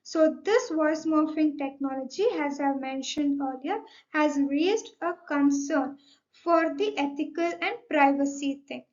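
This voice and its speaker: A woman's voice: high-pitched, measured pace, a little mellow. A young woman with an Indian accent gives an explanatory slide presentation, methodically signposting each point. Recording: dull, lo-fi microphone sound.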